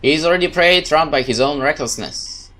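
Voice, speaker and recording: A man narrating a horror visual novel in first person, calm, measured and brooding. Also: a little high, slight background noise. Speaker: let's play host narrating a visual novel.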